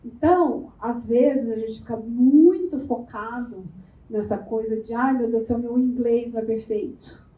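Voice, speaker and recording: A Brazilian woman giving a lively networking workshop, talking playfully and firing quick questions at the room. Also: on the high side. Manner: animated, playful, asks the room rapid questions. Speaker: workshop speaker. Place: event room before a live audience. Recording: echoey room.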